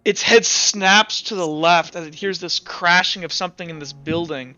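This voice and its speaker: A man with a high, crisp voice works as game master, narrating in a casual, stop-and-start way with plenty of swearing. The sound is dry and slightly muffled. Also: pushed and bright, faint music behind. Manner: halting, casual, swears freely. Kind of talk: improvised tabletop game narration.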